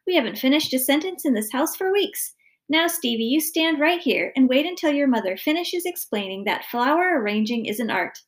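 A woman's voice: sits high, very animated, moderate pace, pitched above the performer's own voice. A woman voicing an exasperated, stern father of a noisy family in a children's story. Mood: fed up. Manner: stern, loud, fed up.

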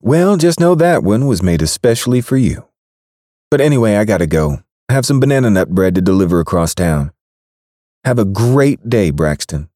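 A man's voice: fairly low pitch, big pitch swings, moderate pace, warm tone, no echo, studio quality, voice pushed up. A man voicing a sly, playful young woman who teases with coy answers. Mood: sweet. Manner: teasing, coy.

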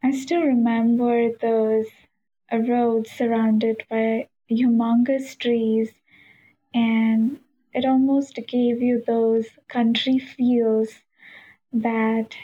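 A woman reflecting slowly and haltingly, with pauses between phrases. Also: on the high side, flat delivery, warm tone. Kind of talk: personal reflection. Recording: dull lo-fi recording.

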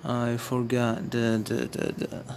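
Very slow, hesitant man. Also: mid-range voice, completely flat delivery, background noise. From Morocco. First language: Amazigh. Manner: hesitant, searching for words, many restarts. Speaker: vlogger. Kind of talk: casual spoken monologue to viewers.

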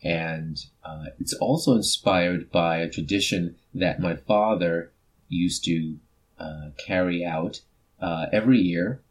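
A man presenting himself and his work as a featured storyteller, in measured, clearly phrased speech. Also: low voice. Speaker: featured storyteller, author and actor. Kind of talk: self-introduction and book presentation.

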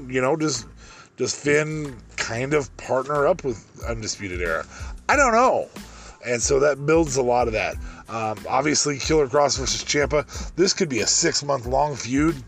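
A man with a deep voice hosting a solo podcast, rambling through a wrestling review with many ums and restarts. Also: a bit bright. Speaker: podcast host.

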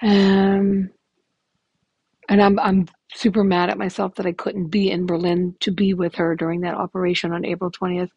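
A woman in her fifties speaks in a rough, gravelly, hoarse voice that sits a little low. She rambles candidly through a casual podcast update, dotted with ums. The sound is dry and close. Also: level tone, moderate pace, darkish tone, dry recording, highs cut off. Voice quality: raspy, gravelly voice. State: hoarse from illness. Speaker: podcast host.

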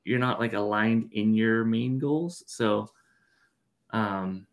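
A man's voice: lowish voice, slow pace. A man co-hosting a podcast, talking thoughtfully about faith and marriage in a rambling way with many fillers. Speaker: podcast co-host. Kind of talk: casual podcast chat.

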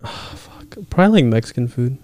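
Mellow-voiced young man joking around. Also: mid-range voice, lively intonation, fairly quick. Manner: joking, loose, swears freely, laughs often. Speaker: podcast co-host. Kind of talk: casual chat.

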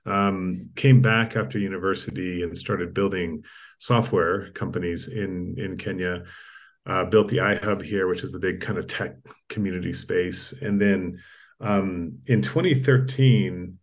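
Deep-voiced man from East Africa. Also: fairly even intonation. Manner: measured, frequent ums and restarts. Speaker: guest, tech entrepreneur. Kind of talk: conversational interview storytelling.

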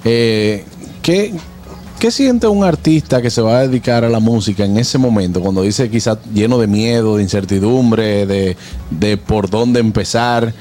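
A man's voice: on the low side, unhurried, mellow, faint music behind. A man hosting an interview, putting conversational questions to a guest. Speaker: interviewer, show host.

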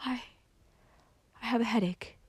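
A woman's voice: a little high, a little slow, husky, no echo, clean studio sound, voice pushed up. A woman voicing a downtrodden young woman in a storybook, hesitant yet stubborn. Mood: faint, timid. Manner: hesitant, stammering, then insistent. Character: downtrodden young woman turning rudely defiant.

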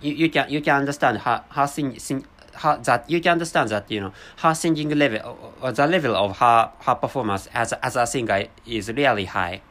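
A man with a Japanese accent, a native Japanese speaker, talking unscripted in a second language, halting and searching for words as he corrects himself. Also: medium pitch, fairly bright tone. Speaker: vlogger.

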